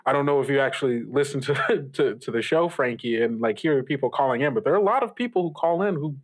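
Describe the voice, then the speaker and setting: A man's voice: medium pitch, expressive, fast, natural voice. A man playing an apologetic student radio host, stammering and placating in a casual improvised audio drama.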